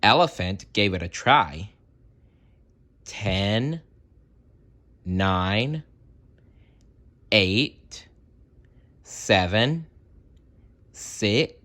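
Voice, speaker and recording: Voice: medium pitch, a bit bright. A storybook reader narrating expressively for young children and addressing the listeners directly. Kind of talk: animated children's read-aloud narration.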